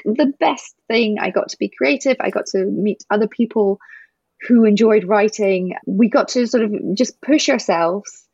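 A woman's voice: medium pitch, creaky, a little mellow, husky, dry recording, lo-fi sound. A Scottish woman chatting as an interview guest, fluent and talkative, with small self-corrections. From Scotland. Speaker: guest, writing group member. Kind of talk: casual interview chat.

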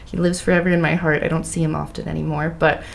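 A woman's voice: low voice, quick pace, gravelly. A woman hosting a casual interview with an English Canadian accent, laughing often as she tells stories. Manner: laughs often, animated storytelling. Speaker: host.

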